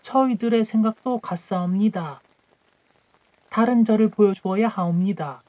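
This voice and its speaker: A man's voice: high-pitched, normal speed, darkish tone, no echo, pitched above the performer's own voice, lightly acted. A man voicing a humble, deferential court subject of old Korea in a storybook tale, speaking in formal archaic honorifics. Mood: deferential, urging.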